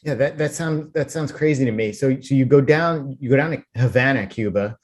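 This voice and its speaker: Podcast host, guy with a mid-pitched voice, trips over his words asking questions. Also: a little fast. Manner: stumbling restarts, friendly. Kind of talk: casual interview questions.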